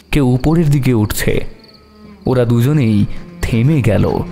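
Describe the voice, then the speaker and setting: A man narrating a horror story dramatically, with suspense and long pauses. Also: a little high, mellow. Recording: long hall reverb over music.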